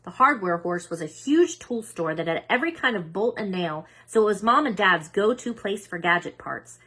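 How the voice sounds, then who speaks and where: Bright, husky woman reading aloud. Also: mid-range voice, normal speed. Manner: expressive, emphatic. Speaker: children's book reader. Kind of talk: animated read-aloud story narration.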